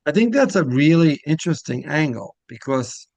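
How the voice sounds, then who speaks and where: A man's voice: a little high, sing-song, normal speed, gravelly, darkish tone, no echo. A man hosting a casual interview, asking questions and reacting conversationally to his guest. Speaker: interviewer, show host.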